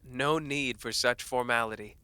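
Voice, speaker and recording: A man playing a calm, fair-minded royal ruler in an audio drama, gracious and measured in his formal speech. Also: medium pitch, smooth, no echo, close to an everyday voice. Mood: calm, conciliatory. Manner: measured, diplomatic. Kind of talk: audio roleplay drama acting.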